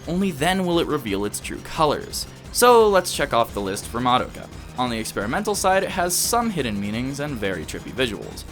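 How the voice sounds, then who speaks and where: A man's voice: medium pitch, sing-song, fairly quick, few pauses, fairly bright tone, soft background music. A man in his early twenties narrating a scripted video essay as an opinionated, enthusiastic fan. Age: early 20s.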